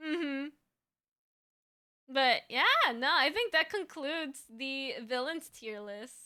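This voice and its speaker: A woman's voice: high-pitched, animated, slow pace, sharp tone. A woman hosting a casual livestream chat, friendly and upbeat, with a voice that is slightly tired. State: voice slightly raspy from tiring. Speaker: streamer host, VTuber.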